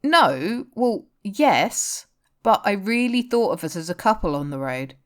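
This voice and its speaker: A woman's voice: medium pitch, big pitch swings, measured pace. A woman voicing an earnest, lovelorn male rock guitarist in story dialogue. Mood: confiding, earnest. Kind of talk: audiobook-style character dialogue.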